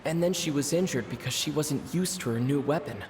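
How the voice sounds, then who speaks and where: A man's voice: a little high, fairly quick, a little mellow, a little hiss or hum. A man playing through a story game, reading dialogue and musing aloud.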